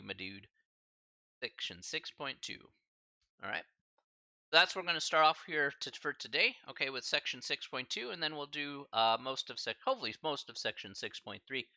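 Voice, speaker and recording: A man's voice: mid-range voice, creaky, sharp tone, no room sound, lo-fi sound. A man teaching a live-streamed math class in a casual, chatty way, full of fillers and slang asides. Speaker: math instructor.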